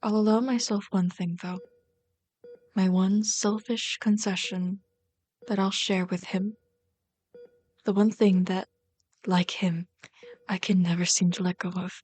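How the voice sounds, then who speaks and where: A woman playing a lovesick, conflicted villain in an ASMR roleplay, speaking in a hushed, halting, intense and tender way. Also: mid-range voice, fairly even intonation, close to an everyday voice. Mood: possessive, pleading. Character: lovesick, conflicted villain pleading with wounded captive.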